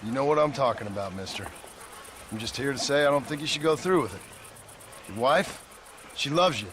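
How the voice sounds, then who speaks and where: Actor as a terse cowboy amid outdoor noise. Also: male voice, mid-range voice, lively intonation, a little fast, natural voice. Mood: earnest. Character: laconic, wary gunslinger cowboy turned ranch hand. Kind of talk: video game cutscene acting.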